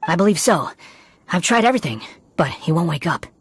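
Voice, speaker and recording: A man voicing a polite, enigmatic young vagrant in a video game, smooth and faintly amused. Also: on the high side, sing-song, brisk, slight background noise. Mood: polite, composed. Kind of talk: video game character acting. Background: background music.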